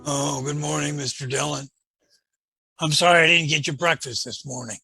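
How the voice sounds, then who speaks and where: A man's voice: a little high, steady tone, moderate pace, creaky, pushed and bright, soft background music. A man playing a meek, folksy assistant to an Old West marshal in a radio western, with a hesitant, stammering, deferential delivery. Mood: wounded, humble. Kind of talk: old-time western radio drama acting.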